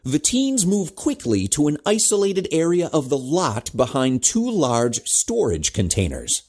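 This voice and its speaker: A man's voice: on the high side, huge pitch swings, measured pace, darkish tone. A man reading scripted narration for a superhero audio drama in a dramatic storytelling manner. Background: music.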